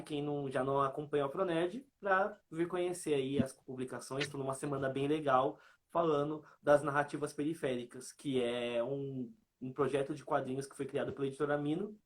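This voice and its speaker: A man with a Brazilian accent chatting informally as a guest on an online live stream about his work. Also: a little high. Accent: Brazilian Portuguese. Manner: informal, colloquial. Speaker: guest, comics reviewer and page creator. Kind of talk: casual live chat. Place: online video live, remote call.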